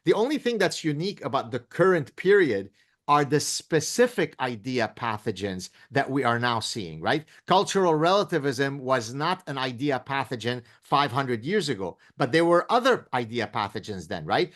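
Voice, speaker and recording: A middle-pitched man in his fifties speaks as a professor on an interview show. He lectures with emphasis and slips into sarcasm. His delivery is forceful and argumentative. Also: big pitch swings, normal speed. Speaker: professor guest. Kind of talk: interview conversation.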